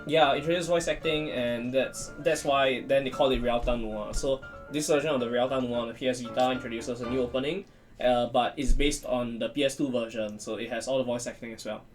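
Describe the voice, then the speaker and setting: A man with a medium-pitched voice talks as a guest on a casual show. He explains things plainly and a little hesitantly. Soft music sits faintly in the background. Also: steady tone, fairly quick. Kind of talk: casual chat, explaining visual novels.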